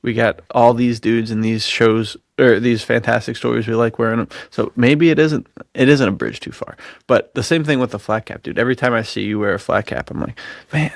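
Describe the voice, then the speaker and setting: A man co-hosting a podcast in casual, enthusiastic chat, talking in slangy, excitable bro talk. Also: medium pitch, fairly quick, gravelly, no room sound, clean studio sound. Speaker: podcast co-host.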